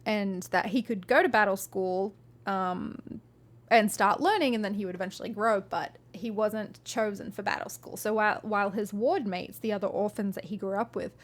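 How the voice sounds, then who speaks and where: A woman's voice: mid-range voice, normal speed. A woman streaming live, reading a fantasy novel aloud and chatting with viewers in a friendly, rambling way with frequent ums.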